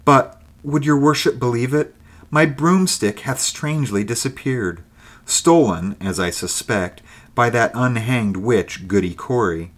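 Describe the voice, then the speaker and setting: A man voicing an eager, gossipy old woman who is secretly a witch, speaking in slow, archaic phrases. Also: lowish voice.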